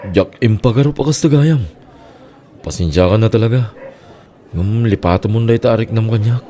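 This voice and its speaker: Man playing low, mellow Ilocano everyman. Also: moderate pace, close to an everyday voice. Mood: apologetic, earnest. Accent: Ilocano Filipino. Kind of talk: Ilocano radio comedy drama acting.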